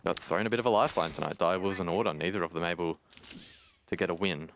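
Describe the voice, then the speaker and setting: A man's voice: sits low, fast talker, fairly bright tone. A man giving live play-by-play commentary on an esports match in a conversational way. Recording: game noise, gunfire and clicks behind.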